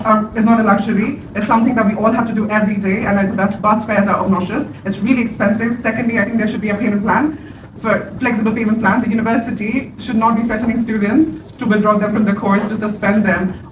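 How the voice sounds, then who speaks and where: A woman from Bangladesh, an election candidate giving a nervous, rushed campaign speech and correcting herself as she goes. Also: mid-range voice, fairly even intonation. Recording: echoey room, far mic, background noise.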